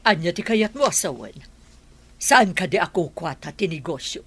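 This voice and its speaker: A woman's voice: on the low side, big pitch swings, normal speed, breathy edge, exaggerated voice. A woman voicing a wealthy businesswoman mother of a grown son in a dramatised story. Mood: questioning, consenting.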